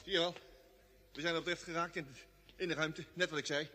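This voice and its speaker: An actor playing an excitable, naive, jokey young crewman with a colloquial, exclamatory manner in a vintage Dutch radio drama. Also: a little high, a little fast. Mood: anxious chatter. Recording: old radio recording, long hollow reverb.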